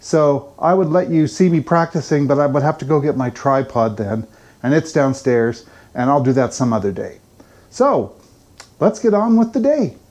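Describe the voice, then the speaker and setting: Older male, relaxed dark-toned voice, folksy and self-effacing, chatty everyday vlog delivery. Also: fairly high pitch, moderate pace. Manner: folksy, rambling, self-deprecating. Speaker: vlogger. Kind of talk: casual chatty daily vlog.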